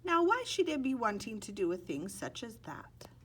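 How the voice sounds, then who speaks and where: A woman's voice: fairly high pitch, very animated, moderate pace, a bit bright, slightly rough, exaggerated voice. Woman voicing a tiny sly leprechaun man. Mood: sly, teasing. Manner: sing-song folksy phrasing. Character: tiny mischievous male leprechaun, sly bargainer.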